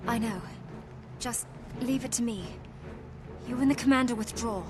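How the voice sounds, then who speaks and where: A woman's voice: a little high, moderate pace, noisy background, natural voice. An actress playing a resolute, selfless plant-folk soldier woman who speaks firmly in a video game. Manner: firm, decisive. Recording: music behind.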